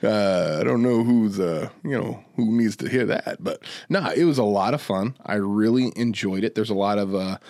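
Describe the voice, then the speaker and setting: A man's voice: fairly low pitch, normal speed, hardly any pauses, a little mellow, no echo, clean studio sound. A man co-hosting a podcast, chatting casually in a rambling, hesitant way that often repeats phrases. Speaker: podcast co-host. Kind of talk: casual chat.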